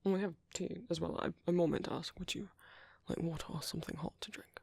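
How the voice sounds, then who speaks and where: A British man playing a gentle, caring vampire priest in an ASMR roleplay, speaking softly, hesitantly and politely in a breathy, whispery voice up close. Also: a little high, steady tone, a little fast, no room sound. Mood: attentive, hesitant. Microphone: close microphone.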